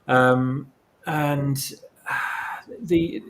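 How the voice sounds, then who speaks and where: A man's voice: mid-range voice, fairly even intonation, drawn-out words. A man in a casual discussion, explaining things at length as a guest author, often repeating words as he goes. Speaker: fan fiction author and podcast guest. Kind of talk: casual discussion of story lore.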